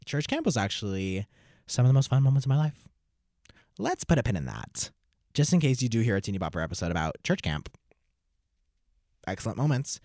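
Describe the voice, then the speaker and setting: A nineteen-year-old man hosting his own podcast, talking alone in an animated, theatrical way with sudden loud bursts of play-acting. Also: medium pitch, brisk, pauses often, no room sound, studio quality. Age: 19, nearly 20. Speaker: podcast host. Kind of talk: solo podcast monologue.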